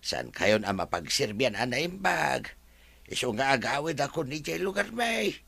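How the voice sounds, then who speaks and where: An actor playing an older male household servant in a radio drama, humble and halting, speaking deferentially to his employer. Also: mid-range voice, very animated, vocal fry, pushed and bright, no echo, exaggerated voice. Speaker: servant character.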